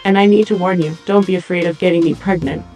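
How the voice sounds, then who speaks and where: A woman playing a seductive, matter-of-fact cyborg dancer in an English-language audio drama, with flat, deliberate, formal phrasing. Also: fairly low pitch, faint music behind, close to an everyday voice. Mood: seductive, direct.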